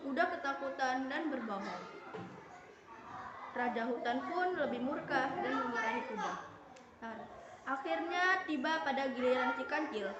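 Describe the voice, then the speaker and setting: Woman reading an animal fable out loud, high voice, echoey noisy room. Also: animated, normal speed, long pauses, lo-fi sound. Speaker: narrator of a class puppet show. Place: school room.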